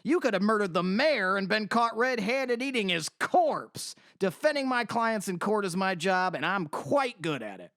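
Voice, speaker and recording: A man's voice: high voice, expressive, moderate pace, few pauses, fairly bright tone, no echo, studio quality. A man playing a formal, polite, slightly hesitant middle-aged defense lawyer in an audio drama. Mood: assured, earnest.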